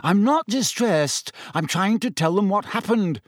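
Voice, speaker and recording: A man's voice: high-pitched, sing-song, unhurried, dry recording, studio quality, voice pushed up, exaggerated voice. A man voicing a desperate teenage schoolboy in an audiobook, urgent and protesting. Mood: furious protest.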